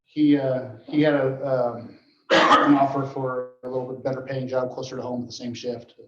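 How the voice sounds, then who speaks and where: A man giving an informal report at a meeting, speaking haltingly and often clearing his throat. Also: medium pitch, level tone. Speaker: dispatch director reporting to board. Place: indoor meeting room. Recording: muffled, echoey meeting room recording.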